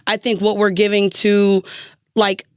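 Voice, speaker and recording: A woman's voice: medium pitch, level tone, unhurried, clean tone, dry recording. Frank American woman, very dry close sound. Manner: frank, profane, emphatic, repeats herself for emphasis. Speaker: podcast guest, podcaster. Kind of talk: casual podcast conversation.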